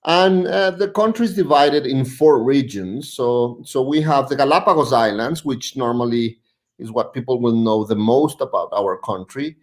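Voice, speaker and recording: A man, speaking at a middle, ordinary pitch. He presents over a video call in a halting way, searching for his words. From Ecuador. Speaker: guest, tourism representative.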